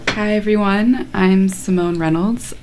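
A woman in her twenties, a panel guest introducing herself calmly. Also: medium pitch, unhurried, a little hiss or hum. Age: 20s.

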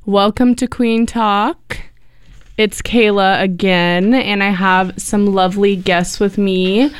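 A woman hosting a casual panel talk, chatty and relaxed, with frequent filler words. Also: medium pitch, slow pace, clean tone. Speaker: talk show host.

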